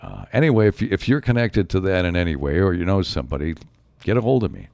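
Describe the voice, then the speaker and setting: A man hosting his own show in a rambling solo monologue, his voice a bit raspy from a slight cold. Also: low voice, expressive, fast talker, a little mellow, no room sound, clean studio sound. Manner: rambling, musing, frequent asides. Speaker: show host.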